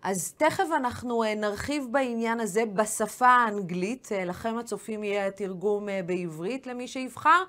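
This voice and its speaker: A woman with an Israeli accent and Hebrew as her mother tongue, hosting a news interview with halting pauses mid-sentence. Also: mid-range voice, a bit bright. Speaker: interviewer, show host.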